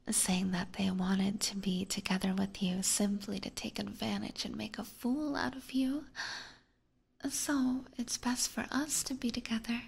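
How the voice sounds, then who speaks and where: A breathy, whispered voice with a soft crackle, a woman acting as a clingy yandere vampire catgirl. She speaks in a doting, menacingly sweet way, lingering on her words. It is an intimate ASMR roleplay, close on a binaural mic. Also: medium pitch, heavily acted. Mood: possessive, menacing. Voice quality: breathy, mostly unvoiced whisper. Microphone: close binaural ear microphone.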